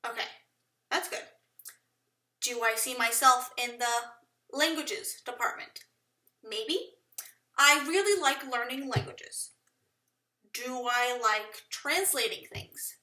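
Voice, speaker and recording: A woman's voice: high voice, slow, pauses often, bright, forceful tone, roomy sound, distant mic. A young woman from Portugal rambling self-consciously to the camera in a casual vlog, laughing often as she thinks aloud. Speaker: vlogger.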